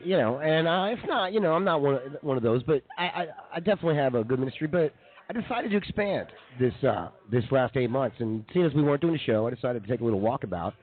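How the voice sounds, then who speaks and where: A man's voice: mid-range voice, lively intonation, fast. A man co-hosting a comedy radio show in casual conversation, on a tinny phone-like stream.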